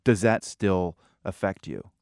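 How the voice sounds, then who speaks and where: A man hosting a radio news program, asking questions in a calm, measured way. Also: deep voice, creaky, no room sound, clean studio sound.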